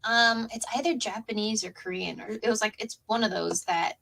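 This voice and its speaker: A young woman hosting a podcast, chatting casually with quick, bubbly reactions. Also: mid-range voice, vocal fry, bright, forceful tone. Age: young adult. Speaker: podcast host.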